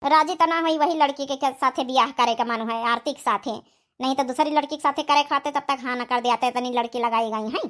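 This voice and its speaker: A woman's voice: high-pitched, brisk, fairly bright tone. A woman with an Awadhi accent voicing an ageing, impatient village mother who nags plainly in a cartoon story. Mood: resigned. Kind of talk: amateur cartoon story dialogue.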